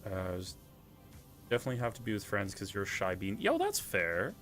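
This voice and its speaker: A man's voice: lowish voice, wildly swooping pitch, brisk, a bit bright, soft background music. A man with a stuffy, congested, slightly nasal voice, chatting casually and self-deprecatingly while streaming a game. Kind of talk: casual live-stream chat while gaming.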